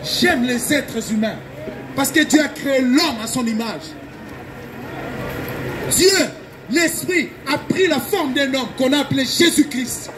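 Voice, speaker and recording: A man's voice: extremely high pitch, measured pace, long pauses, a crowd in the background, background noise. A man from Belgium preaching an evangelistic sermon in an urgent, exhorting and emphatic way, repeating his phrases. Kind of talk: fervent open-air evangelistic sermon.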